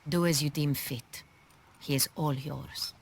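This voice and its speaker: A woman's voice: deep voice, fairly even intonation, unhurried, gravelly, husky, exaggerated voice. An actress playing an imperious, decisive noblewoman ruler in a video game, speaking in a formal, commanding way. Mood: cold command. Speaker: voice actor in a game. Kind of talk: video game cutscene acting.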